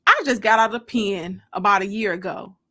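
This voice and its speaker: A woman voicing a smooth, candid young man courting someone in an audiobook, casual and straightforward. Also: medium pitch, a little fast, sharp tone. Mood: frank. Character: smooth, candid young male suitor, ex-convict.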